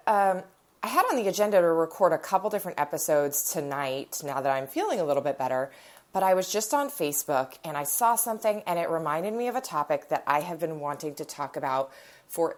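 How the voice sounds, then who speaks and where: A woman hosting a podcast, stuffy and nasal from a cold, chatting in a rambling storytelling way, recorded on her phone in her living room. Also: low voice. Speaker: podcast host. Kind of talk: casual chat.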